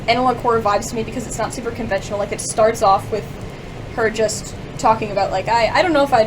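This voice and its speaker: A young woman chatting casually as a radio guest, in a halting, rambling way with lots of "like". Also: on the high side, steady tone, far from the mic, background noise. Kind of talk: casual chat about music.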